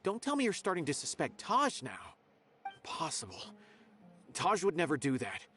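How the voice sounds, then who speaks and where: A man's voice: on the high side, wildly swooping pitch, moderate pace, fairly bright tone, slight background noise. A man voicing an earnest, loyal scholar-officer in a video game, speaking in an emphatic, insistent way. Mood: defensive disbelief.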